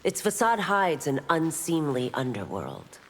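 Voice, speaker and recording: A woman's voice: deep voice, huge pitch swings, measured pace, heavily acted. A woman playing a stern, proud female constable in a fantasy video game, formal and authoritative. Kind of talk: video game character dialogue. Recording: long hall reverb, faint noise.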